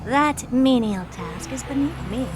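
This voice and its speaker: A woman's voice: high voice, animated, unhurried. A woman voicing a haughty genius scientist in a video game, who talks bluntly and dismissively. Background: game music.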